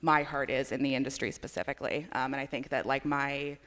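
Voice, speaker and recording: An earnest woman speaks as a panelist at a conference, leaning on fillers as she goes. Her voice sits low for a woman. The long reverb of a large hall trails behind her. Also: fairly even intonation, brisk, a bit bright. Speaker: panelist, editor.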